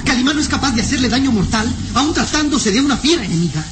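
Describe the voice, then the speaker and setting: A woman playing the hero's devoted, excitable young companion in a radio serial, eager and urgent. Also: high voice, quick pace, noisy background. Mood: admiring. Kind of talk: radio serial adventure acting. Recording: old radio serial, music underneath.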